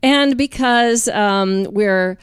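A woman's voice: mid-range voice, very slow, clear, resonant tone, no room sound, studio quality. A woman co-hosting a music podcast, casually introducing songs.

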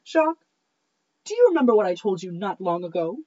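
A woman's voice: mid-range voice, very animated, fairly quick, darkish tone, dull audio. A woman playing a coy, demanding young lady in a cold reading of a romantic period comedy. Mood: coy, insistent.

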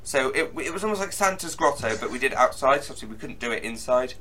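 A young man hosting a podcast, chatting eagerly with quick restarts. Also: mid-range voice, expressive, bright, forceful tone. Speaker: podcast host. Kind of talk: casual chat.

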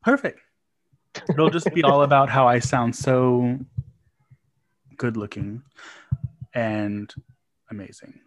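A man with an ordinary mid-range voice co-hosts a podcast. He talks in a relaxed, joking, easygoing way during casual chat. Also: medium pitch, takes it slowly, long pauses, low-quality recording. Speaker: podcast co-host.

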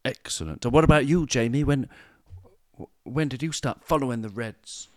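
A man's voice: mid-range voice, moderate pace, no echo, studio quality. Playful man in his thirties hosting. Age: 30s. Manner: playful, florid, stumbling restarts. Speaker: podcast host. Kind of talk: casual podcast chat.

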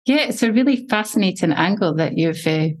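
A woman's voice: medium pitch, lively intonation, fairly quick. A woman hosting a podcast interview, asking long, formal, winding questions. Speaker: podcast host.

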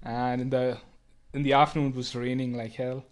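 A man's voice: medium pitch, flat delivery, brisk. A man with an Indian accent, a native Tamil speaker, chatting casually on a podcast. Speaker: podcast guest or co-host. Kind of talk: casual chat.

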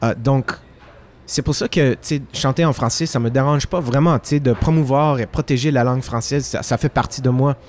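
A man's voice: mid-range voice, fairly quick, a little hiss or hum. A Québécois musician guest in a casual interview, switching between French and English with frequent restarts. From Quebec, Canada.

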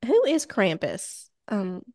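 A woman's voice: mid-range voice, huge pitch swings, slow pace, warm tone, dry recording, clean studio sound. An American woman hosting a podcast, telling spooky legends in a casual, joking, profane way with plenty of banter.

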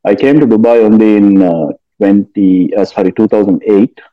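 Middle-aged Sri man, lower voice, measured and hesitant, dull lo-fi recording. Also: steady tone. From Sri Lanka. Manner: measured, hesitant with frequent fillers. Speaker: guest, finance executive and author. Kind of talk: casual interview answers.

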